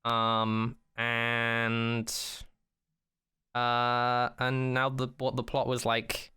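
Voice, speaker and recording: A man co-hosting a podcast, chatting casually and opinionatedly, acting out mock quotes in an animated way and swearing freely. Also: medium pitch, drawn-out words, clear, resonant tone. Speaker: podcast co-host. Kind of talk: casual chat, opinionated rant about a TV show.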